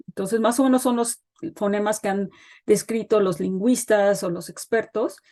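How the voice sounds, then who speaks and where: A woman's voice: mid-range voice, darkish tone. Middle-aged woman, guest expert, thoughtful explainer who hesitates often with fillers. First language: Spanish. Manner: thoughtful, pauses with frequent fillers. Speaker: guest expert on child development. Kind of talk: explanatory interview talk.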